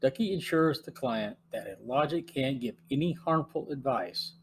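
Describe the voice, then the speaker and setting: A man's voice: mid-range voice, lively intonation, slight background noise. Man reading a plot summary. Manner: measured, reads from notes. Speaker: podcast host.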